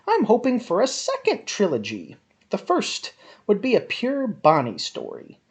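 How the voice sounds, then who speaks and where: A woman giving a theatrical dramatic reading of a written review in an overwrought, melodramatic manner with drawn-out emphasis. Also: mid-range voice, wildly swooping pitch, darkish tone. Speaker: reader of a written film review.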